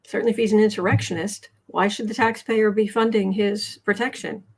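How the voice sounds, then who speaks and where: An American woman hosting a casual tarot livestream, chatting haltingly as she comments and reads out viewer messages, often repeating her words. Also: medium pitch, steady tone, husky. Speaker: host of a live tarot and astrology show.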